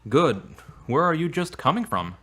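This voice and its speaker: A man playing an earnest, very expressive devout boyfriend. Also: a little high, normal speed, full character voice. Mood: caring, mild. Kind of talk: acted audio drama dialogue.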